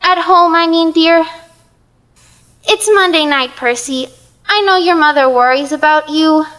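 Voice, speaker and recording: Very high-pitched, melodramatic fretting matron. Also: female voice, measured pace, a bit bright. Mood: anxious, exasperated. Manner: theatrical, exasperated, scolding. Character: fretful, melodramatic older Mrs. Lee, pleading with gods. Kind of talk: audio play acting.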